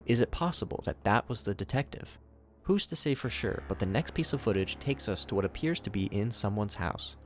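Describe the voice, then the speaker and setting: A man's voice: on the low side, expressive, a little fast, over music. A man narrating scripted commentary as a video reviewer. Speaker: YouTube narrator reviewing horror series.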